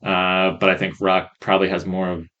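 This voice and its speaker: A man co-hosting a podcast in casual, opinionated chat, halting as he talks, on a rough, faulty microphone. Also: low voice, monotone, bright, forceful tone, dull audio. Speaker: podcast co-host.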